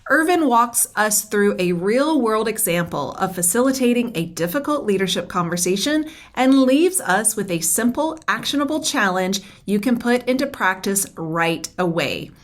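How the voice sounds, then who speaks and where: A woman's voice: medium pitch, animated, moderate pace, few pauses. A woman hosting an interview podcast, speaking in an upbeat, enthusiastic and effusive way. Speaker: podcast host.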